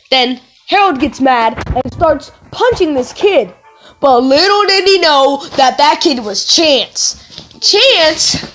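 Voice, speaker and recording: A woman telling casual stories to viewers in an animated, rambling way, often wandering off and correcting herself. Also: high voice. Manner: animated, digressive, self-correcting. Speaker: video creator telling stories.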